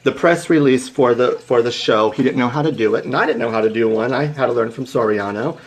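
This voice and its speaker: A young man in his twenties talks to his live viewers in a loose, playful way, his voice sitting at a middle pitch. He rambles and restarts, then leaps up high when he gets excited. He keeps stopping to greet viewers by name. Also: a little fast. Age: 20s. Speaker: livestream host. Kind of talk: casual motivational storytelling to live viewers.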